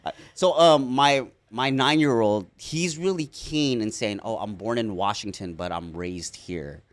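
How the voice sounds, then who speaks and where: A man hosting a casual interview podcast, talking in a slangy, expressive way with excitable reactions. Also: lowish voice, a little slow, no room sound. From Filipino background. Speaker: podcast host.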